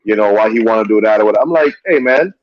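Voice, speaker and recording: A man's voice: lowish voice, expressive, fast, dry recording. Blunt opinionated man, muffled audio. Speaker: podcast co-host. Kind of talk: casual boxing talk.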